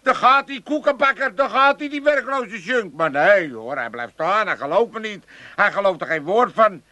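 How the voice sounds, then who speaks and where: A cranky elderly grandfather with an Amsterdam accent, grumbling and rambling through his complaints in a radio comedy play. His voice sits high and sounds bright and sharp, recorded dry and close. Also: lively intonation, moderate pace, no room sound. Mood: indignant. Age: older.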